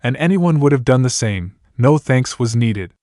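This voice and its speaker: A man voicing a reserved young male wanderer who speaks in a measured, formal way. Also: mid-range voice, lively intonation, warm tone, no echo, clean studio sound, natural voice. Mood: calm.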